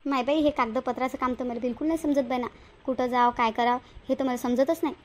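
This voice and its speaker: A woman's voice: high voice, fairly even intonation, fast, natural voice. A woman voicing a cautious, bossy village woman in a Marathi cartoon, with a rural Vidarbha accent and a homely, questioning way of talking. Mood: modest, explaining. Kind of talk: Marathi cartoon moral-story dialogue.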